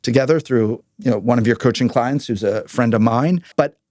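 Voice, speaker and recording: A man's voice: mid-range voice, normal speed, darkish tone, dry recording, studio quality. A man hosting an interview in a casual, conversational way, informal and affirming with his guest. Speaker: podcast host interviewing a guest.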